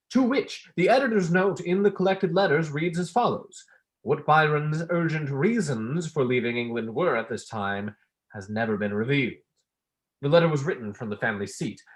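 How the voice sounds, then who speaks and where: A student actor playing a pompous, easily wounded academic, declaiming in a staged play reading. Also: male voice, mid-range voice, fairly bright tone. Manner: declamatory, oratorical.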